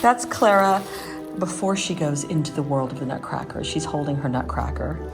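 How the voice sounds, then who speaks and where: A woman's voice: low voice, animated, normal speed. A woman hosting a chatty home decorating vlog, talking in an enthusiastic, gushing way. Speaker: vlog host. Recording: music playing behind the voice. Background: music.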